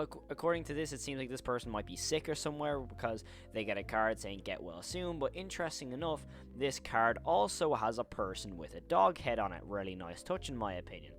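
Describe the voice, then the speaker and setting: A man delivers video essay commentary as the host of a horror channel. His voice sits at a middling pitch. He explains things at length in a rambling way, doubling up on intensifiers for emphasis. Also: a bit bright.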